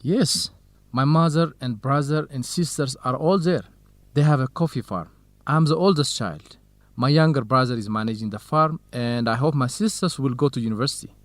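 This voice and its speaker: Guy playing modest easygoing uni researcher, medium voice, polite n low key, dry radio drama sound. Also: moderate pace, dry recording, natural voice. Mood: modest, open. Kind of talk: educational radio drama acting.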